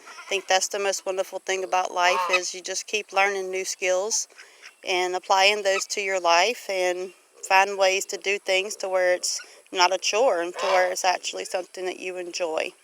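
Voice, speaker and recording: A woman's voice: mid-range voice, little pitch movement, normal speed, a bit bright, a little hiss or hum, poor audio. A middle-aged woman chatting casually as a homesteading vlogger, earnest, folksy and encouraging in the way she talks. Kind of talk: casual chat to camera about homesteading.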